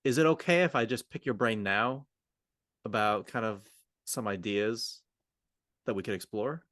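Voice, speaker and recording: A man's voice: lowish voice, moderate pace, a bit bright, dry recording, studio quality. A man co-hosting a podcast, talking in an informal, collaborative, conversational manner. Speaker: podcast co-host. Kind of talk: roleplay and conversational coaching discussion.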